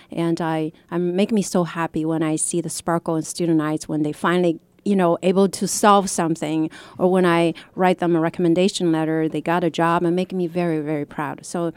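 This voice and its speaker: A middle-aged woman from China, a guest professor, talks on a radio show in a lowish, dry-sounding voice. She speaks earnestly and restarts her sentences often. Her first language is Chinese. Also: a little mellow, smooth, no echo. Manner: earnest, many restarts and fillers. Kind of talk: radio interview.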